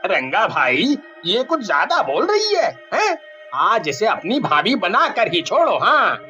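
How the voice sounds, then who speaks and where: A man's voice: sits high, huge pitch swings, measured pace, sharp tone, lo-fi sound, soft background music, full character voice. A man playing a sleazy young village sidekick in a cartoon story, scheming, easily panicked and wheedling. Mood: indignant. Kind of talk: Hindi cartoon story voice acting.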